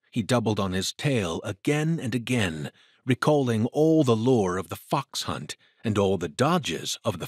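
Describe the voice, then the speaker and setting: A male narrator with a fairly low, noticeably husky voice reads dramatic fiction. His intonation moves widely, and the sound is close and dry. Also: dry recording. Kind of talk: dramatic scripted story narration.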